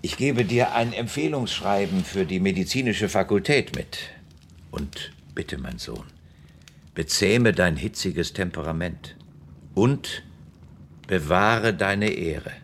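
A very low, slow-spoken older man as a gentle, solemn father in a radio play. He speaks with measured, earnest care, over faint background noise. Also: expressive, gravelly, heavily acted. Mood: tender, solemn. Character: gentle, earnest father blessing his departing grown son. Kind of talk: radio drama acting.

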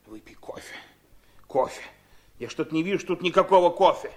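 A man's voice: fairly high pitch, lively intonation, brisk, close to an everyday voice. An actor playing an irritable, bullying, grasping middle-aged father in a radio drama, brusque and given to nagging repetition. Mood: impatient, suspicious. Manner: brusque, nagging, repeats himself for emphasis. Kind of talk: theatrical radio drama acting.

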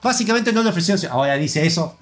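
An Argentine man hosts a relaxed gaming podcast in a somewhat high voice, rambling from one news item to the next and reading listener comments aloud. Soft music plays faintly underneath. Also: fast talker. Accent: Argentine Spanish. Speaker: podcast host. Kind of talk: casual gaming news chat.

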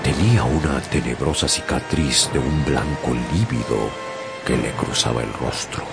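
A man's voice: very deep voice, normal speed, gravelly. An actor playing a young sailor who narrates his own sea adventure in a dramatized radio story. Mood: intrigued, suspenseful. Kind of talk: dramatized first-person storytelling. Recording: music bed behind narration.

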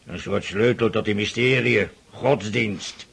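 Older aristocratic father, gravelly and husky, brusque, mocking, impatient, for period radio drama. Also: lowish voice, unhurried, a bit bright, heavily acted. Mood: gruff, dismissive. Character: stern, sarcastic aristocratic father drilling his daughter in mathematics. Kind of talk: Dutch radio drama acting.

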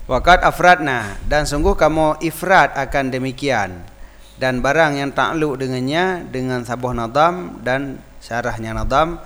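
A man's voice: medium pitch, fairly bright tone. A man with an Acehnese accent, a native Acehnese speaker, teaching a religious lesson by reading a text aloud and explaining it informally. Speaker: Islamic teacher. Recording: reverberant hall-like echo.

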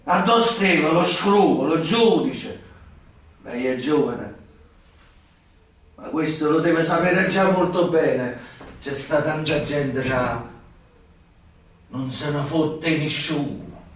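An actor playing a bitter, remorseful old mob informant with a southern Italian accent, confessing in weighty, halting, theatrical phrases for radio theatre. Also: male voice, a little high. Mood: bitter, heavy. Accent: southern Italian, Campanian. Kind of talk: theatrical radio drama acting. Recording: very echoey noisy room, distant from microphone.

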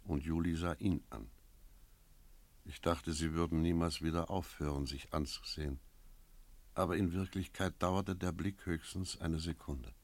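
An actor playing a sober village innkeeper who tells an eerie tale in a measured, reflective radio drama style. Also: male voice, low voice, no echo. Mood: brooding. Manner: measured, reflective, literary storytelling. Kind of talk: radio drama narration and dialogue.

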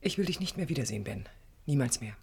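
A woman's voice: a bass voice, animated, fast, creaky, darkish tone, breathy edge, heavily acted. A woman acting as a hurt, resolute lover in a 1980s German radio play.

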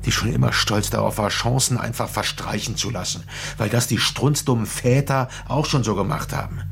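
An actor playing a combative middle-aged politician in a naturalistic radio crime drama, husky and gravelly, blunt, scornful and condescending. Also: male voice, mid-range voice, normal speed, fairly bright tone, a little hiss or hum. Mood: angry, condescending. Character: combative, arrogant middle-aged male politician, scornful, cornered yet defiant.

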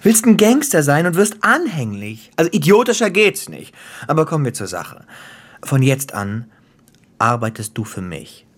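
An actor playing a menacing, sardonic gangster boss in a radio drama, taunting and playful, slipping into breathy whispers. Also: male voice, on the high side, sing-song, moderate pace. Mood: taunting threat. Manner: taunting, theatrical, dropping into whispers. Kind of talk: German radio drama acting.

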